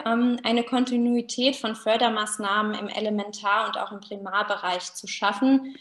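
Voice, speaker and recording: A woman in her thirties giving an explanatory online lecture as a researcher, addressing her listeners directly. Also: a little high. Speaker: university researcher presenting a talk. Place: small indoor room, video call. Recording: roomy, echoing webcam sound.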